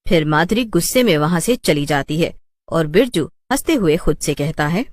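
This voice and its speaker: A woman reads a scripted story as narrator. Her voice sits a little low for a woman. The recording is very dry, with no room sound. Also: lively intonation, normal speed, no room sound.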